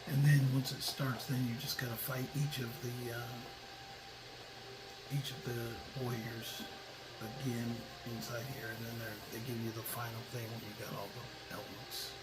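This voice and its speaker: A man with a scratchy voice giving a relaxed, halting walkthrough of a video game, explaining as he plays. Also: mid-range voice, over loud music. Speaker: gameplay commentator. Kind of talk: casual let's-play walkthrough commentary. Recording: loud laptop hiss behind voice.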